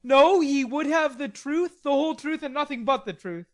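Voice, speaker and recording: A woman reading an audiobook, voicing a stubborn, hot-tempered young gentleman in indignant outbursts. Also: high-pitched, normal speed, voice pushed up, natural voice. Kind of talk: audiobook character voice.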